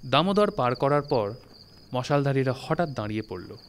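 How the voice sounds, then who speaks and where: A man reading a literary story as narrator, in a measured, phrase-by-phrase storytelling manner. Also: mid-range voice, expressive, slight background noise. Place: recording studio. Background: music.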